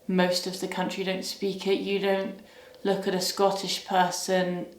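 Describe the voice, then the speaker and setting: A young woman from Wales answering interview questions in a hesitant, thoughtful way, often pausing to search for words. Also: medium pitch, completely flat delivery, echoey room.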